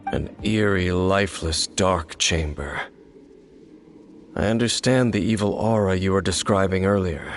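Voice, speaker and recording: Calm serious swordsman, lowish voice, game music playing under him. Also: a little slow. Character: grave young male swordsman, steady and resolute. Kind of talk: video game voice acting. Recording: game audio with clear music behind.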